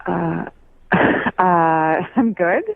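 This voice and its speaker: A woman's voice: on the low side, very slow, gravelly, no echo. A woman answering a surprise radio phone call, hesitant and caught off guard. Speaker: person called by the show. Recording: tinny phone line.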